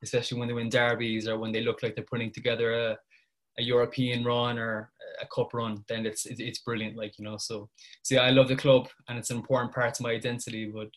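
Young Irish guest with a Cork accent, rapid and flat-toned, casual and chatty. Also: male voice, medium pitch. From Ireland. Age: 20s. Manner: chatty, informal, peppered with "like". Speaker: guest journalist. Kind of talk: casual podcast chat.

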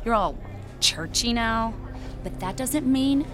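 Sneering American high school mean girl. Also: animated, slow, music in the background. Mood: scornful. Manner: sarcastic, scornful. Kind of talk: audio drama acting.

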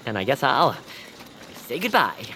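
A woman playing an eager, optimistic young creature with an earnest, excitable manner in a family audio drama. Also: extremely low pitch, a little slow, slight background noise, full character voice, low-quality recording. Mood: excited. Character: Noah, eager optimistic young peepkin dreamer. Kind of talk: audio fiction acting for families.

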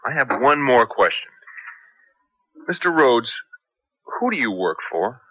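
A man's voice: medium pitch, big pitch swings, a little slow, dry recording. An actor playing a worried, guilt-ridden American man eager to help, urgent and questioning, in a 1970s radio drama. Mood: anxious questioning. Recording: dull vintage radio broadcast.

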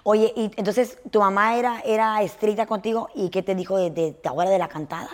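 A chatty woman in her thirties from Honduras hosts a relaxed conversation. She talks quickly, full of slang, and drops in bits of English. Her voice sits at a middle pitch. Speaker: podcast host. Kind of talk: casual chat.

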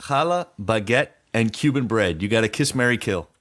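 A man's voice: fairly low pitch, lively intonation, normal speed, a bit bright. A man hosting a casual interview, informal, often repeating words as he works toward a question. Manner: informal, stop-and-start phrasing with repeated words. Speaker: podcast host.

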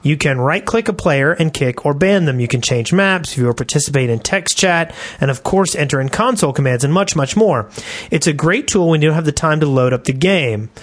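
A man's voice: fairly high pitch, expressive, fairly quick. A man co-hosting a podcast, chatting casually and reading listener email aloud. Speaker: podcast co-host.

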